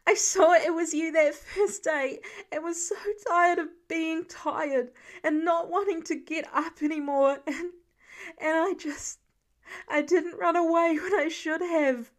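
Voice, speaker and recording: A woman voicing a frightened, guilt-ridden teenage boy with a halting, pleading manner in an audiobook story. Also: very high voice, fairly even intonation, voice pushed up. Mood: distraught confession.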